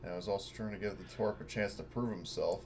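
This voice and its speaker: A man's voice: low voice, fast talker, a little mellow, slight background noise. A man playing a tabletop game, chatting casually in a blunt, sweary way. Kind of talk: casual banter during online game.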